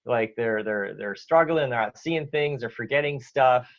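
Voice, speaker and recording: A man with a Canadian accent, a guest answering interview questions in a relaxed way, with many fillers and restarts. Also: medium pitch, moderate pace. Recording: dull lo-fi audio.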